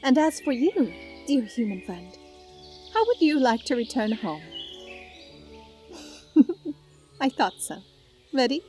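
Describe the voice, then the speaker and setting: A very high, gentle fairy voice played by a woman with soft music behind it. She speaks formally and graciously, like a kindly little royal in an audio fairy tale. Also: sing-song, slow, pauses often, heavily acted. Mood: gracious, warm. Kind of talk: amateur audio roleplay acting.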